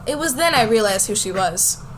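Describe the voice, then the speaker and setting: High-voiced high school girl narrator, voiced by a woman. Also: lively intonation, normal speed, a bit bright, a little hiss or hum. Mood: narrating. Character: bold, competitive high school girl telling her story. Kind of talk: scripted first-person radio drama narration.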